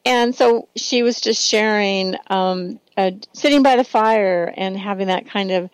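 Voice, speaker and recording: A woman's voice: medium pitch, measured pace, smooth, dry recording, low-quality recording. A woman hosting a talk show in a casual interview style, speaking in a loose, hesitant, conversational way. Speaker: radio show host.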